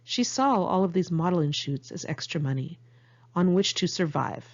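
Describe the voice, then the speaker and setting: A woman reads her personal memoir aloud in a candid, conversational style. Her voice sits a little low, with plenty of gravelly fry at the edges. The homemade recording sounds slightly dull and lo-fi. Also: lively intonation, normal speed. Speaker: author reading own memoir. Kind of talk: audiobook narration of a personal memoir, read in one take. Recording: muffled lo-fi amateur recording.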